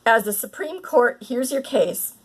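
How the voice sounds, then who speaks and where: A woman reading aloud a short letter of support in a calm, measured way. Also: fairly high pitch, slightly rough, low-quality recording.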